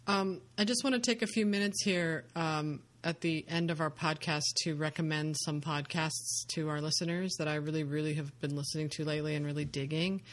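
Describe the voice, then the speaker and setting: A woman's voice: sits low, fairly even intonation, brisk, hardly any pauses. A woman hosting a podcast, chatting casually and enthusiastically, rambling with many fillers and restarts. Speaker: podcast host.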